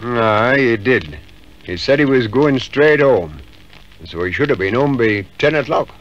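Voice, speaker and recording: A man's voice: mid-range voice, animated, unhurried, fairly bright tone. A man playing a plain-spoken village pub landlord in a 1950s radio drama, with a folksy northern English accent. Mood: matter-of-fact. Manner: folksy, forthcoming. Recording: dull 1950s radio recording.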